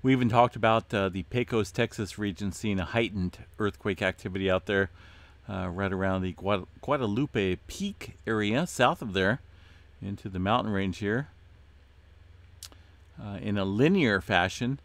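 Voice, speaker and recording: A man hosting an earthquake update, talking casually through his screen with many fillers and a voice going hoarse. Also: lowish voice, moderate pace, no echo. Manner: relaxed, many "uh" fillers, addresses viewers as folks. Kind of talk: casual screen-share commentary.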